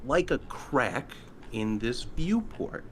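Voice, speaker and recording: A man's voice: medium pitch, sing-song, slow, a little hiss or hum. A man bantering casually as a player in a tabletop roleplaying game.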